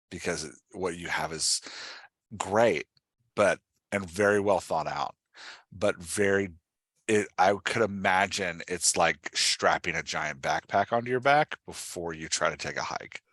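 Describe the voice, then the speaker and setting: A man's voice: deep voice, measured pace, a bit bright, no echo, clean studio sound. A man hosting a conversational coaching interview, explaining with examples and often checking that he is understood. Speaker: podcast host and writing coach.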